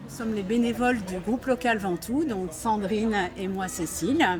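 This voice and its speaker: A woman volunteering at an outdoor stand, presenting children's activities in a friendly, halting way. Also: mid-range voice, over music. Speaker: volunteer at a bird-protection stand.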